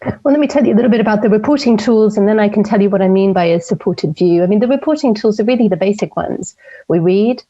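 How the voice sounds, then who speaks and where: A woman from Portugal in her forties, an opinion columnist answering interview questions in a measured, thoughtful way with frequent ums. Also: mid-range voice, warm tone, lo-fi sound. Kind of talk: interview over a call.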